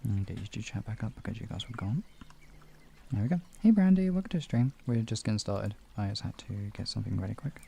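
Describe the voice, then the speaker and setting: Deep, creaky, mellow-voiced man. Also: expressive, quick pace. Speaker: streamer, guided relaxation host. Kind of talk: casual chat moving into guided relaxation and hypnosis instruction.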